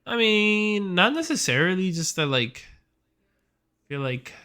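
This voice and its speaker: A man's voice: high-pitched, very animated, takes it slowly, pushed and bright, smooth. A young man of Puerto Rican background co-hosting a podcast, talking casually in a rambling, slangy way. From Puerto Rican family background. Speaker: podcast co-host. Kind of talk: casual chat.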